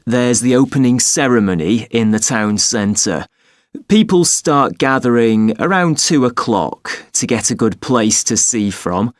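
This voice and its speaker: A man playing a helpful, courteous festival box office clerk in a scripted listening-test dialogue, speaking clearly and informatively. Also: mid-range voice, dry recording, clean studio sound.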